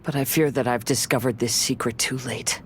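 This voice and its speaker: A man playing a gentle, pensive young wandering samurai in a video game, speaking in a measured, polite, reflective way. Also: medium pitch, steady tone, slight background noise, close to an everyday voice. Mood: somber. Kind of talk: scripted video game voice acting.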